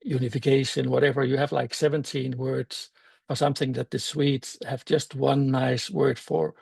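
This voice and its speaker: An older man with a Danish accent, a native Danish speaker, giving a conference talk in a thoughtful, halting way with many fillers and restarts. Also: mid-range voice, level tone, creaky, darkish tone, husky, no echo. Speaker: meetup speaker.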